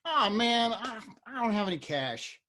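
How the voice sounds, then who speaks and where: Nervous sheepish husband, flustered and broke. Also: male voice, high voice, sing-song, unhurried, sharp tone, slight background noise, exaggerated voice. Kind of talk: table read of a TV comedy script.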